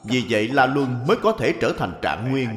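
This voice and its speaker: A solemn man acting as storyteller narrates a moral cartoon tale. His delivery is expressive in pitch, with long echoing reverb over music. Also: normal speed, close to an everyday voice. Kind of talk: scripted narration.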